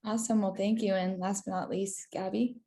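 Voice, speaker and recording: A woman's voice: medium pitch, flat delivery, a little fast, mellow. A young woman moderating an online webinar, giving a scripted, formal welcome and introductions over a video call. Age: young adult.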